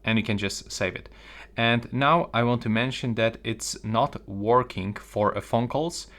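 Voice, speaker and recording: A man's voice: medium pitch, unhurried, fairly bright tone. A man presenting a step-by-step phone tutorial.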